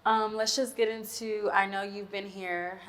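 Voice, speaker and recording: A woman's voice: medium pitch, level tone, unhurried, fairly bright tone. A woman co-hosting a casual interview, friendly and loose with many fillers. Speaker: co-host.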